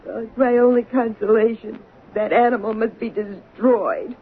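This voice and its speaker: An actress playing a grieving lunch-counter waitress and devoted soap fan in a radio drama. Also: female voice, fairly high pitch, normal speed, close to an everyday voice. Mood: grieving, solemn. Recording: tinny, noisy 1970s radio recording.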